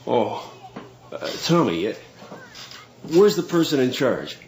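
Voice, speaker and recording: A man acting in a radio drama as an anxious father, halting and nervous in his speech. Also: medium pitch, sing-song, darkish tone, slight background noise. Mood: uneasy. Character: anxious, hesitant father, protective of his son.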